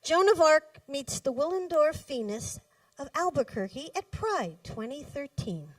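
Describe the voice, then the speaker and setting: A woman performs her poems in a roomy space, heard from a distance. Her voice is fairly high and swings widely in pitch. She phrases each line deliberately, leaving pauses and leaning on key words. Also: big pitch swings. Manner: deliberate, dramatic phrasing with pauses and emphatic stresses. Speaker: poet reading her own poems. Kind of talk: live poetry reading to an audience. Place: indoors in a cafe. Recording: roomy echo, distant microphone.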